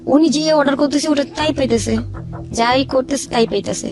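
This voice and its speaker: High-voiced Bangladeshi woman telling her story. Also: brisk. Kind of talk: informal personal storytelling. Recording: music under the voice.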